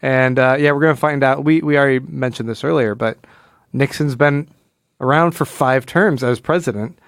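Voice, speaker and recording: A man's voice: mid-range voice, moderate pace, gravelly, dry recording, studio quality. A man co-hosting a podcast, talking casually through a comic book in a rambling, explanatory way. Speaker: podcast co-host.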